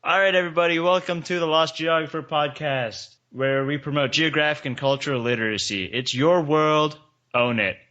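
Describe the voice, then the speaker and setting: A man's voice: a little high, steady tone, normal speed, pushed and bright. A man hosting a podcast, delivering promos and introductions and putting questions to a guest. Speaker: podcast host. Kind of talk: scripted promo and interview hosting. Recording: dull lo-fi podcast audio.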